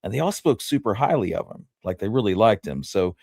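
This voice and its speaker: A man co-hosting a casual history discussion, thinking aloud in a halting way. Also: fairly low pitch, very animated, darkish tone, no room sound, clean studio sound. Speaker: history show co-host.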